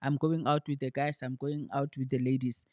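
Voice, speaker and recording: An earnest woman co-hosts a relationship podcast, talking her points through in casual chat and pausing to search for words. The sound is dry and close, with no room echo. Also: extremely low pitch, little pitch movement, darkish tone. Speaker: podcast co-host.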